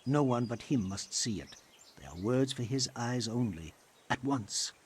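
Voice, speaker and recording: Male actor as secretive commander, game cutscene, hushed, terse, medium pitch, faint background noise. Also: animated, measured pace, close to an everyday voice. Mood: secretive, commanding. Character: secretive, commanding medieval master dispatching a courier. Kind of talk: dramatic video game cutscene acting.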